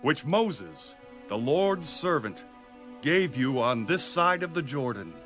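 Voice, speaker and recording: An actor playing a resolute military leader in a dramatized audio Bible, solemn and authoritative. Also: male voice, a little high, sing-song, takes it slowly, fairly bright tone, full character voice. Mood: solemn command. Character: resolute male military leader addressing his people. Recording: music under the voice.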